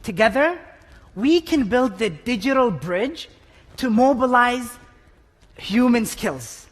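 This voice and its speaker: A man giving a short stage talk in slow, emphatic short phrases. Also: very high voice, animated, big-room sound.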